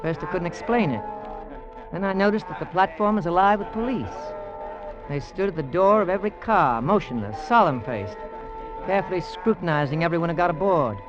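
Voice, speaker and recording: American oil engineer, higher male voice, earnest, measured radio storytelling, muffled vintage recording, prominent music. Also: expressive. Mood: earnest, suspenseful. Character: American oil engineer recounting his adventure, earnest, skeptical. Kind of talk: old-time radio drama narration and dialogue.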